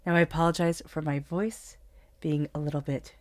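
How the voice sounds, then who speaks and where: Low-voiced woman with a cold. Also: steady tone, moderate pace, darkish tone, dry recording. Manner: soothing guided meditation, then earnest spiritual pep talk. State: has a cold. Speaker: tarot reader. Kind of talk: solo tarot reading to camera.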